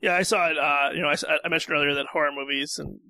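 A man with a higher-pitched, bright voice chats quickly as a podcast guest. It has a creaky edge, and his manner is casual and diplomatic. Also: steady tone. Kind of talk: casual chat.

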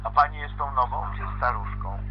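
A man's voice: mid-range voice, fairly quick. A man improvising a pretend-play phone dialogue in a homemade audio play, talking in a polite, hesitant, questioning way. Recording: dull lo-fi playback with guitar music over it.

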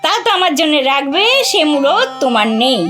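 A woman's voice: sits high, animated, brisk, pushed and bright. A woman playing a long-suffering, scolding older goddess wife in an audio drama, full of sighing complaints. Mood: nagging. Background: music.

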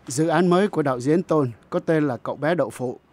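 A man's voice: fairly high pitch, fast talker, darkish tone. A man narrating a scripted news report as a reporter.

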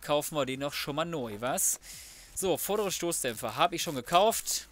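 A man's voice: on the high side, expressive, moderate pace, a bit bright. A man giving casual, slangy gameplay commentary, chatting through each step as he goes. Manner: chatty, self-narrating, slangy. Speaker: let's player commentating.

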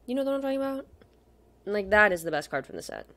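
A woman's voice: medium pitch, sing-song, fast talker, bright, forceful tone. A young adult woman chatting casually over screen browsing as a video host, gushing and slangy, sometimes dropping to a murmur. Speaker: video host, K-pop photocard collector. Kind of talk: casual rambling screen-share commentary.

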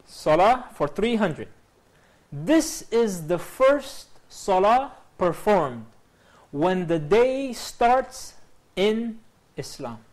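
A man's voice: sits high, sing-song, drawn-out words, darkish tone, poor audio. A man in his thirties hosting a TV quiz show from a studio, upbeat and formal as he greets teams and reads out clues. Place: TV studio set.

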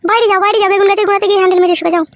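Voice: very high voice, fairly even intonation, very fast, fairly bright tone, no room sound. A performer voicing a bossy, short-tempered village wife in a comic cartoon, scolding curtly. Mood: irritated, dismissive. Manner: scolding, curt, threatening. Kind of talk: exaggerated comic cartoon dialogue. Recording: clearly noisy track with clicks.

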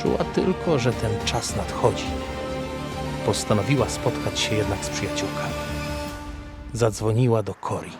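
A man narrating a scripted radio drama as a storyteller, in measured phrases. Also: lowish voice, loud music behind the voice.

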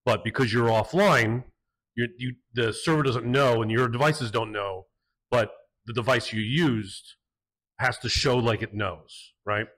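A man's voice: mid-range voice, measured pace, fairly bright tone, rough recording. A man in the audience asking a long question at a meetup in a small cafe, thinking it through aloud. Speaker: audience member.

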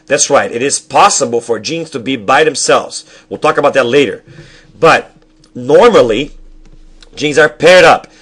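Young man teaching, halting and self-correcting. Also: medium pitch, animated, fairly bright tone, breathy edge. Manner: halting, self-correcting explanations with frequent restarts; asks the viewer questions and checks in with "all right?". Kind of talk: recorded classroom lecture over slides. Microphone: webcam at desk.

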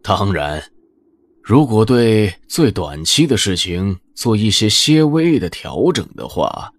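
Deep, grave shadowy mentor. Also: male voice, very animated, takes it slowly, no room sound, exaggerated voice. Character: cryptic shadowy male mentor who foresees futures. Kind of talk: audio drama acting.

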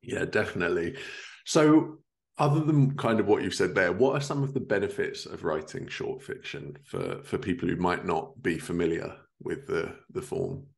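Male host, thirties, deep voice, casual conversational interviewing from home. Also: moderate pace, vocal fry. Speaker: podcast host. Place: indoors at home, living room. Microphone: video call.

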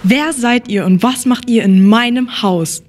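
A woman's voice: fairly high pitch, unhurried, soft background music, lightly acted. A woman playing a lonely, withdrawn, grieving young woman in an audio drama. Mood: weary.

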